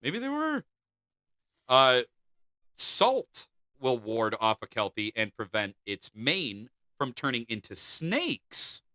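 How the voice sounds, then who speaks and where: A male podcast co-host reads out folklore in an animated, jokey way, his voice rising and falling widely. His tone is very bright and forceful, and the mic sound is dry and close. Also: mid-range voice, takes it slowly, no room sound. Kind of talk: casual chat, reading folklore aloud.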